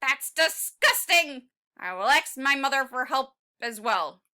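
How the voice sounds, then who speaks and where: Woman voicing a tiny, earnest, high-pitched little boy dinosaur. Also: expressive, unhurried, bright, forceful tone, heavily acted. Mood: disgusted, resolute. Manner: earnest, declarative, emphatic. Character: tiny earnest young male T. rex, determined to help.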